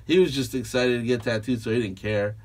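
A man's voice: medium pitch, fairly quick, darkish tone, recorded from a distance. A man from Peru, tired after a late night, telling stories casually in a small podcast studio, rambling with lots of likes and fillers. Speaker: guest tattoo artist. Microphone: studio mic on a boom arm, headphones on. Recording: voice a little off-mic.

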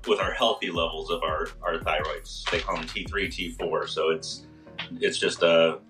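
A hoarse man in his fifties, a pharmacist explaining health topics on a video call, step by step with many fillers. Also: low-pitched, steady tone, normal speed, far from the mic, music in the background. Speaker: pharmacist guest on a radio show. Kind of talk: casual health talk. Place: small room indoors.

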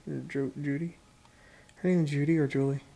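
A man's voice: a little high, little pitch movement, moderate pace, dark tone. Man chatting casually on a ghost hunt. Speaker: paranormal investigator. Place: dark indoor hall at night.

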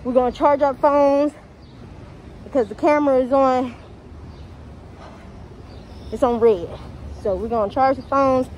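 High-voiced young American woman vlogging outdoors. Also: steady tone, measured pace, long pauses, a little mellow. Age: young adult. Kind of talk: casual vlog chat to viewers. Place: outdoors, walking on city streets and waterfront. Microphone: phone camera held at arm's length. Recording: dull phone audio, outdoor street noise.

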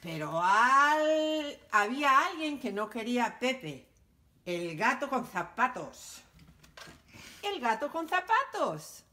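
Storytime reader, woman, sing-song and animated, high very lively voice. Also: slow pace. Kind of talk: children's picture book read-aloud.

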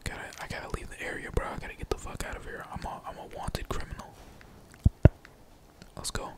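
Whispering young man gaming. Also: fairly quick. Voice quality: breathy, unvoiced whisper. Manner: slangy, profane, rambling. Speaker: gaming streamer. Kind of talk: whispered casual gameplay commentary.

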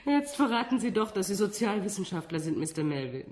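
An actress in a radio play, playing a composed woman scientist who explains things in a measured, slightly condescending way. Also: medium pitch, big pitch swings, darkish tone, natural voice. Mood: patient, superior. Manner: didactic, measured, with small rhetorical tags.

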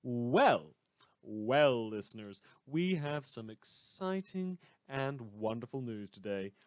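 A man's voice: medium pitch, big pitch swings, takes it slowly, a little mellow, no room sound, clean studio sound. A man playing a smug, rambling small-town radio news host in a fan audio drama, theatrical and wry, repeating phrases for emphasis. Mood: gleefully excited.

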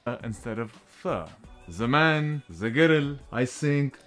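A man's voice: medium pitch, lively intonation, slow, a bit bright, faint music behind. A man presenting an instructional video, explaining step by step.

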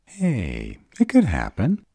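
A man's voice: on the low side, huge pitch swings, slow, creaky, dark tone, slightly rough, dry recording, studio quality, exaggerated voice. A man playing a sarcastic, guarded grown vampire who is insecure about love, in an audio roleplay. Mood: sarcastic, incredulous. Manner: sarcastic, wry.

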